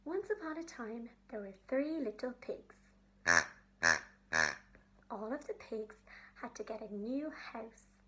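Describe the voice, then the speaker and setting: A woman telling a story to young children, in simple phrases with pauses for her listeners. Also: fairly high pitch, a little mellow.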